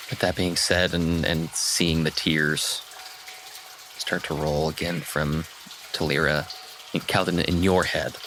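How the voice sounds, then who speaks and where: A man's voice: extremely low pitch, vocal fry, noisy background. A man improvising story narration as game master in a tabletop roleplay game.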